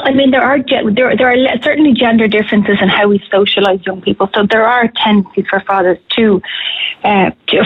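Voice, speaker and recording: Husky woman on a phone line. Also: mid-range voice. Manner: measured, hedging with many restarts. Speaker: clinical psychologist guest. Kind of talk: radio interview answers.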